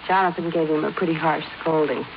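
A woman's voice: low voice, steady tone, brisk. A woman playing a poised, unworried, well-off wife in a 1950s radio drama, speaking in a composed, polite way. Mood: casual. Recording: dull 1950s radio recording, faint noise.

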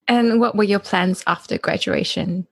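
A woman interviewer with a gravelly, creaky voice puts curious questions to her guest in a relaxed, casual way. The recording is dry and close. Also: medium pitch, normal speed, dry recording.

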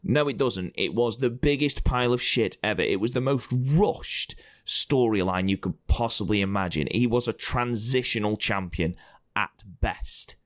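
A man with a very low, hoarse and croaky voice talks in casual podcast banter. He is blunt and opinionated and swears freely. The sound is dry and close, with no room around it. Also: moderate pace, dry recording. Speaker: podcast co-host presenting award results.

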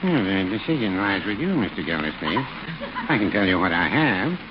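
An actor playing a mild, literal-minded older druggist with a dry, deliberate manner, in 1940s radio sitcom style. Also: male voice, deep voice, sing-song. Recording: very noisy, muffled 1940s radio broadcast recording.

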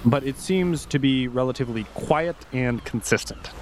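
A man running a tabletop roleplaying game as game master, describing scenes in an unhurried way. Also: medium pitch, a little mellow, slight background noise.

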